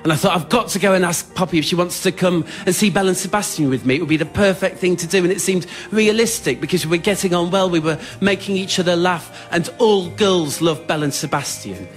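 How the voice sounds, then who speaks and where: A man's voice: sits high, quick pace, hardly any pauses, faint music behind. A man performing a scripted comic monologue on a theatre stage for radio, in an understated, self-deprecating, earnest way. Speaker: writer-performer storyteller. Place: theatre stage before an audience.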